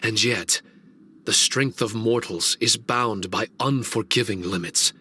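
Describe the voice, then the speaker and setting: An actor playing a solemn, wistful male shikigami servant in a game cutscene, speaking in a slow, measured, reflective way. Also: on the low side, pushed and bright, slight background noise.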